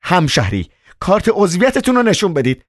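A man's voice: high-pitched, wildly swooping pitch, no room sound, studio quality, pitched above the performer's own voice, full character voice. A man putting on a curt, stern woman. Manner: curt, clipped demands. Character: pale, weary woman doorkeeper, stern and curt.

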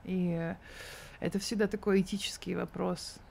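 A woman's voice: on the low side, fairly even intonation, normal speed, creaky, a little mellow, slight background noise. A woman in her thirties speaking as an interview guest, candid and thoughtful with an ironic edge.

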